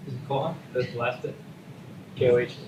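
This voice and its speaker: A man's voice: medium pitch, steady tone, brisk, highs cut off. A man in a conference audience calling out a hesitant guess. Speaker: audience member. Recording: distant, roomy, noisy capture.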